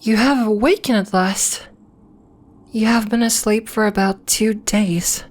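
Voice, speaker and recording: Serene alien priestess of the moon, acted by a woman, ASMR roleplay, soothing, solemn, slow measured delivery, mid-pitched female voice. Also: natural voice. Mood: serene, congratulatory. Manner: slow, deliberate, soothing, formal phrasing. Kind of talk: ASMR roleplay, soft scripted monologue addressed to listener.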